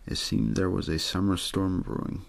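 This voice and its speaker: A man softly reading a story in ASMR style, unhurried and untheatrical, with a husky, gravelly voice. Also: sits low, animated. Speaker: host and reader of an audio ASMR show.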